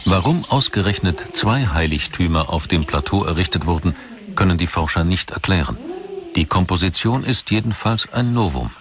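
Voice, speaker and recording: Low-pitched guy narrating a documentary, measured n articulate, noisy ambience behind him. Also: lively intonation.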